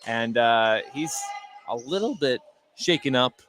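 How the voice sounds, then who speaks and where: A man giving conversational color commentary on a basketball game, halting and repeating words as he thinks aloud. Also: mid-range voice, animated, a bit bright, slight background noise. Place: courtside in a school gym.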